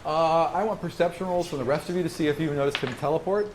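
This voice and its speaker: A man's voice: on the high side, fast talker, background noise. A man working as game master, improvising tabletop game narration in an informal way with sound words and asides.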